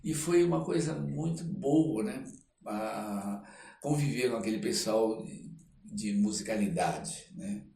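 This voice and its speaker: An older man with a Brazilian Portuguese accent tells stories as an interview guest, in a halting way, repeating phrases for emphasis. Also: fairly low pitch, vocal fry, dark tone, distant mic. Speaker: podcast guest, stage performer. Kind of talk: conversational storytelling interview. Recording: roomy echo, off-mic, faint noise.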